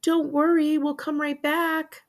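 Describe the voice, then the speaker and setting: A woman's voice: sits high, flat delivery, unhurried, voice pushed up. A woman voicing an earnest, tender-hearted young child in a storybook, close to blubbering. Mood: reassuring. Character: tearful, earnest young child, gentle and pleading.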